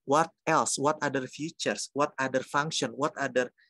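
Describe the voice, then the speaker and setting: A man's voice: mid-range voice, fairly even intonation, normal speed, sharp tone, no room sound. Chatty Indonesian-accented male lecturer. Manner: chatty and jokey, with acted-out quotes and asides; mixes Indonesian words into English. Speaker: university lecturer. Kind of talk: informal interactive lecture.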